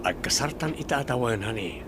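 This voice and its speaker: An actor with an Ilocano Filipino accent playing a carefree, coaxing young fiancé and favourite son in a radio drama. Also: male voice, medium pitch, animated, fairly quick, noisy background, close to an everyday voice.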